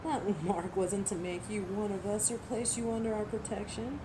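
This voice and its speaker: A woman's voice: mid-range voice, fairly even intonation, normal speed, noisy background, natural voice. A woman voicing a commanding, exasperated and ruthless female alpha werewolf in a dramatic roleplay audio, imperious and sardonic. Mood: cold, menacing.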